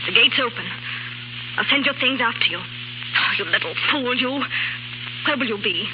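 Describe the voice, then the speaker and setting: A stern household woman played by an actress, giving orders in a brisk, imperious, urgent way. The 1930s radio drama recording is muffled, with heavy background noise. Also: a little high, animated, lightly acted. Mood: urgent, commanding.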